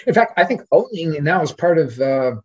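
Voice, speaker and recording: Male academic guest, husky mid-pitched voice, discursive and hesitant, dull lo-fi recording. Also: expressive, normal speed, a little mellow. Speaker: podcast guest, literary scholar. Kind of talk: scholarly conversation.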